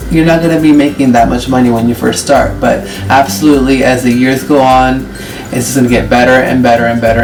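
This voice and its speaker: Late-20s male vlogger, casual Q&A, explains patiently; distant mic, music playing behind. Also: medium pitch. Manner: chatty, explains step by step. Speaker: vlogger and flight attendant. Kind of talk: casual Q&A vlog.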